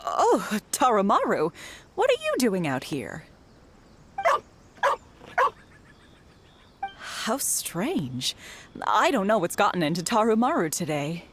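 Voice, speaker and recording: A woman's voice: medium pitch, wildly swooping pitch, measured pace, a little hiss or hum. A woman voicing a polite, caring female tea house worker in a video game, speaking earnestly. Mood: caring, surprised.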